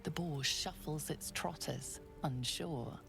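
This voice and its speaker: Grave female game narrator, low creaky voice, unhurried, ominous, loud music behind. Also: heavily acted. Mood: measured. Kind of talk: scripted second-person game narration.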